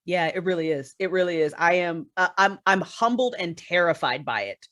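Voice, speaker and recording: A woman's voice: lowish voice, animated, moderate pace, a bit bright. A woman chatting casually as a podcast guest, effusive and swearing freely as she talks about her creative work. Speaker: guest, writer and creator of an audio drama.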